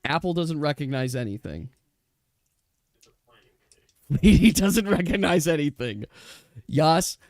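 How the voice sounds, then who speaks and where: A man's voice: on the high side, expressive, takes it slowly, pauses often, a little mellow, no room sound, studio quality. A man speaking as game master, switching between casual rules talk and descriptive narration of a tabletop role-playing game.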